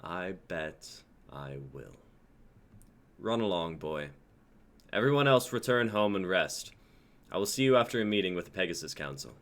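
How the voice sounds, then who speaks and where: A man's voice: low voice, lively intonation, normal speed. A man playing a commanding principal of a knights' council, solemn and proclamatory, in an amateur fantasy drama reading. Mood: stern.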